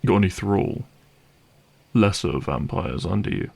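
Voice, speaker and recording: A man playing a cynical, menacing vampire hunter in an audio roleplay, speaking deliberately and sardonically with a gravelly voice. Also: low voice, animated, a little mellow, exaggerated voice. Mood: probing, threatening. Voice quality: gravelly, creaky.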